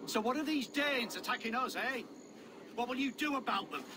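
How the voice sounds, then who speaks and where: An actor playing a bitter, sarcastic villager who heckles and accuses in a video game cutscene. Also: male voice, very high voice, moderate pace, background noise. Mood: bitter, accusing.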